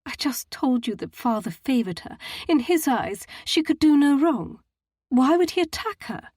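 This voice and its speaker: A woman's voice: medium pitch, moderate pace, a little mellow, husky, no room sound, studio quality, pitched above the performer's own voice, natural voice. A woman voicing a distraught young society woman who speaks in a quaking, tearful voice. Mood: upset.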